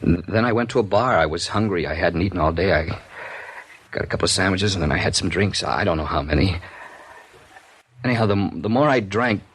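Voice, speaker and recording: An actor playing a desperate, hot-tempered jealous husband in a 1950s radio drama, husky, blunt and overwrought, with an American accent. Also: male voice, sits low, expressive, fairly quick, fairly bright tone, exaggerated voice. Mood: broken, despairing. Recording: vintage radio drama recording.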